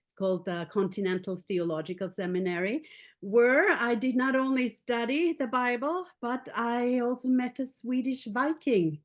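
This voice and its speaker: A woman in her forties from Austria with an Austrian German accent, a pastor speaking as a podcast guest and telling her story warmly and personally. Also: a little high, normal speed. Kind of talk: casual faith podcast chat.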